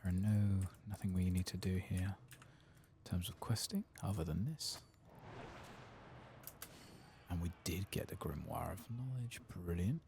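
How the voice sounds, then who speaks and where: A man giving soft-spoken, sometimes whispered ASMR gameplay commentary, murmuring and musing as if to himself. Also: low voice, normal speed, gravelly, noisy background. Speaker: game streamer.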